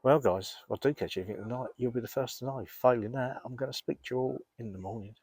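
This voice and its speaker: A man with a cold, rambling casually to camera while fishing outdoors by a lake, often clearing his throat. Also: medium pitch, quick pace, a little mellow, dry recording. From England. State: congested, blocked up with a cold. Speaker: carp fishing vlogger.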